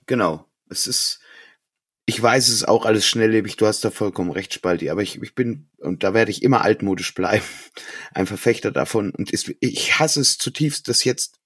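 A man chats about music as a podcast co-host. His voice is husky and a bit low, recorded very dry. He comes across as opinionated and insistent. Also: moderate pace, a bit bright, dry recording. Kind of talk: casual music discussion.

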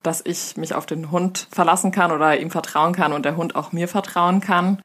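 A woman's voice: on the low side, steady tone, moderate pace, breathy edge. A woman co-hosting a casual podcast, giving thoughtful answers and laughing now and then. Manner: thoughtful, warm agreement, giggly. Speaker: dog trainer, podcast co-host.

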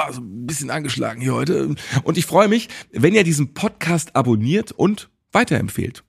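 Mid-pitched man hosting a chatty podcast. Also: creaky, breathy edge. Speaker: podcast host.

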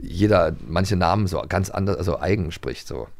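Middle-aged male host, very deep and gravelly, hesitant and chatty. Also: lively intonation, normal speed, a little mellow. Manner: hesitant, full of filler sounds. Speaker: podcast host. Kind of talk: casual chat about radio plays.

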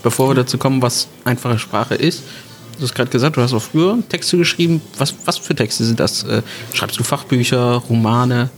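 A man's voice: medium pitch, brisk. Podcast host, male, loose and chatty, fillers and restarts, faint music bed. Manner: chatty, many fillers and restarts, swears casually. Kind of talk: casual podcast conversation.

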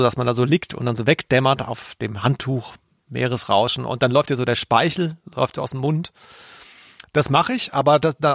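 A man with a creaky, mid-pitched voice co-hosts a casual podcast. He talks reflectively, feeling his way through phrases, in a very dry recording. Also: fairly bright tone, no echo. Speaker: podcast co-host. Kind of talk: casual conversational podcast analysis.

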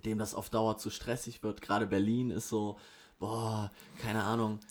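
A man with a fairly low voice chats casually, rambling loosely in slang with plenty of fillers. He sounds like he is sitting in a kitchen among friends. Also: level tone, a little slow, a little hiss or hum. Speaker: rapper guest. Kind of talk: casual chat. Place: kitchen of an apartment.

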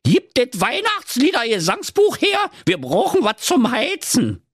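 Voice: medium pitch, huge pitch swings, measured pace, breathy edge, dry recording, studio quality, voice pushed up, heavily acted. A performer voicing a bossy, scolding mother in a comic storybook tale. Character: shrill, scolding, tipsy mother.

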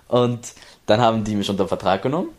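A man's voice: fairly low pitch, fast. A young man from Berlin, an esports player, tells his story in a casual interview, rambling with many restarts and acting out what others said.

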